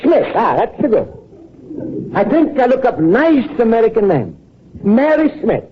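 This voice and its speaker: An actor playing a naive, earnest, lovestruck Italian immigrant in an old-time radio comedy, speaking accented broken English. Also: male voice, very high-pitched, very animated, a little slow, slight background noise, heavily acted. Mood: puzzled, hopeful. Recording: muffled old radio broadcast recording.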